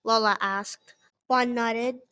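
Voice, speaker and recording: Higher-pitched woman reading a children's story. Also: steady tone, takes it slowly, sharp tone, clear, resonant tone. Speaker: author reading own story. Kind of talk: scripted children's story narration.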